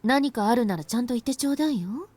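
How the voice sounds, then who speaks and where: A woman playing a caring, gently worried mother in Japanese visual novel style, with a motherly manner. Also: a little high, animated, racing. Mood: gentle concern.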